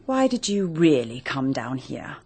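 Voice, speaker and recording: An actress playing a brisk, practical married Englishwoman in a British radio mystery drama, with an English accent and a crisp, businesslike manner. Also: deep voice, very animated, darkish tone, no echo, exaggerated voice. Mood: startled, suspicious.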